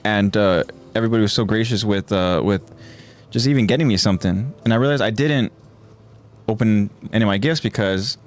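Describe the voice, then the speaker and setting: A man's voice: lowish voice, brisk. A man hosting a live podcast, talking in a casual, effusive way full of fillers and restarts. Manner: effusive, rambling with many fillers. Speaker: podcast host.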